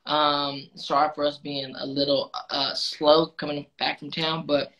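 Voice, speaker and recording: A man's voice: on the high side, level tone, measured pace, fairly bright tone, highs cut off. A man hosting a casual call-in live stream, laid-back and friendly, calling people dude and man. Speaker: host.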